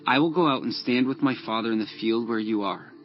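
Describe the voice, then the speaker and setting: A man's voice: medium pitch, a little fast, muffled recording, faint music behind, close to an everyday voice. Man acting a loyal, earnest young prince. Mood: urgent warning. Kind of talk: dramatized scripture audiobook.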